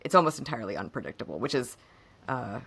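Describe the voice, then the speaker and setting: A hoarse woman co-hosting a podcast, chatting casually and haltingly about personal matters, her voice fading a bit. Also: very deep voice, sing-song, a bit bright. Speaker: podcast co-host.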